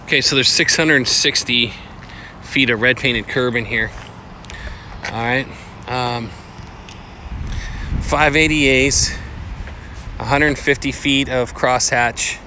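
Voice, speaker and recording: A man's voice: mid-range voice, steady tone, slow pace, pauses often, bright, forceful tone, slight background noise. Matter-of-fact man walking a parking lot. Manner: plain, matter-of-fact, counts aloud with fillers. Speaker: paving estimator. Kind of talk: casual walkthrough narration. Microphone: phone held in hand while walking.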